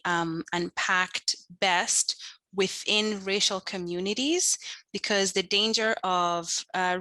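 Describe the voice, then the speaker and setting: A woman from Kenya speaks on an online panel. Her voice sits a little low yet is bright and forward, with a dry, close sound. She lays out her points in a measured, articulate way. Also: no room sound, poor audio. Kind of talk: earnest panel discussion. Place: online video call.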